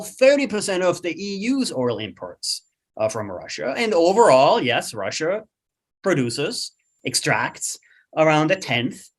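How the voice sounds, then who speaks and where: A man with an Austrian accent, a guest expert explaining things in a podcast conversation, lively and thinking aloud with many "um"s and "right?"s. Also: fairly high pitch.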